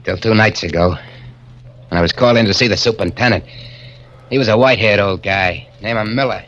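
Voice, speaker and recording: A man's voice: on the low side, brisk, fairly bright tone. A man playing a hard-bitten male convict on the run, with streetwise slangy tough-guy talk, in 1940s radio acting on a dull lo-fi recording. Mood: menacing.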